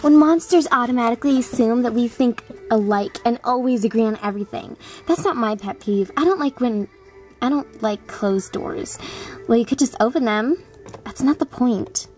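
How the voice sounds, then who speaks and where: A woman's voice: fairly high pitch, normal speed, darkish tone, soft background music, natural voice. A woman voicing a pair of bickering teenage monster twin sisters from a cartoon.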